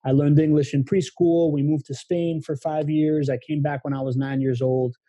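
A man's voice: on the high side, little pitch movement, moderate pace, mellow, no room sound. A man in his thirties, born in Venezuela and raised in Miami, speaking as a podcast guest in a reflective, open way with long run-on sentences. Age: 30s. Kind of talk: casual conversation.

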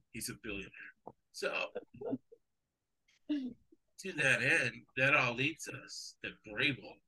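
A man's voice: mid-range voice, pushed and bright, slightly rough, rough recording. A man, English his second language, hosting a sports betting podcast in casual, rambling chat. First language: not English. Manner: rambling, digressive, chuckles often.

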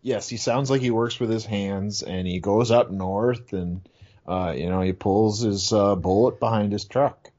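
A man's voice: fairly low pitch, measured pace, darkish tone. A man with a Minnesota accent co-hosting a casual podcast, laughing in the middle of his sentences. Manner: giggly, laughs mid-sentence. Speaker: podcast co-host. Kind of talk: casual chat. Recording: dull lo-fi audio.

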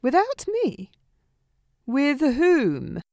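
A woman's voice: high voice, wildly swooping pitch, very slow, a little mellow, dry recording, studio quality, heavily acted. A woman playing a vain, flirtatious, competitive glamorous friend in an audio drama, theatrical and teasing. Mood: arch, indignant.

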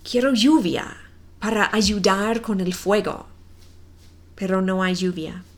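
A woman in her forties with a non-native accent, reading a simple story slowly and carefully for language learners. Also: medium pitch. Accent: non-native Spanish accent. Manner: careful, deliberate reading with clear pauses. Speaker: Spanish teacher.

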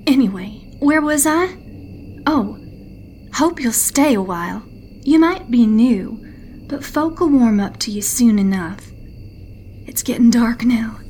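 A woman playing a folksy village local in an audio drama, welcoming newcomers and warning them in a conspiratorial, hushed manner. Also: on the high side, lively intonation, slow pace, long pauses, slight background noise. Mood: folksy welcome. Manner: folksy, conspiratorial, dropping into hushed near-whisper. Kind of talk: in-character fiction podcast storytelling.

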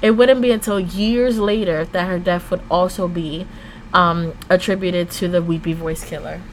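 A woman hosting a true crime podcast, switching between scripted storytelling and slangy, informal, animated asides. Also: fairly low pitch, normal speed, a little hiss or hum, poor audio.

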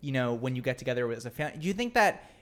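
Low-voiced Boston woman, lively. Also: rapid-fire. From outside Boston, Massachusetts. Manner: playful, laughs often, mock-formal asides. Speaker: podcast host. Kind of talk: casual chat.

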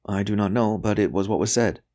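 A man voicing a captive young priest in an audiobook, frightened but steadfast, answering in a hushed, halting, guarded way. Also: lowish voice, pitched above the performer's own voice, lightly acted. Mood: pained, careful. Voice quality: hushed, breathy murmur.

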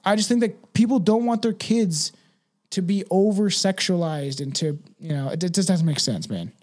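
A man with a high voice chats as a podcast host. He is blunt and emphatic, swears freely and keeps checking in with "right" and "man". The delivery is casual and full of opinion. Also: expressive, normal speed, a little mellow.

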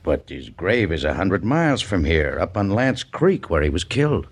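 A middle-aged frontier army major in a 1950s radio western. His voice is fairly low and very animated in pitch, and his manner is formal, courteous and firm. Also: normal speed, slightly rough, full character voice. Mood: firm, concerned. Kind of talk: 1950s radio drama acting.

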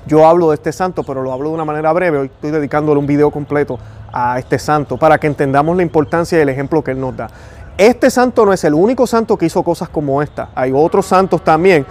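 Fast-talking Puerto Rican man. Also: a little high, a little mellow. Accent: Puerto Rican Spanish. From Puerto Rico. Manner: fast-talking, earnest, prayerful. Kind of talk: devotional talk and prayer.

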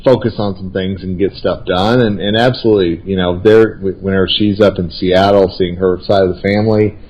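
A man's voice: low-pitched, steady tone, brisk, highs cut off. A man chatting casually as a regular co-host, in a friendly way with many restarts. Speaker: regular guest and co-host, speaker and consultant. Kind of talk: casual conversational chat.

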